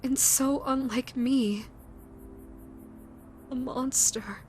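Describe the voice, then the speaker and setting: A grieving, self-loathing yokai spirit with a scarred face, as a woman acts her out, speaking high and unhurried. She stumbles and repeats her words, breaking off mid-thought as she speaks to the listener in an audio roleplay. Soft music plays faintly underneath. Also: fairly even intonation. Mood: bitter despair. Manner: halting, stammering, repeats words.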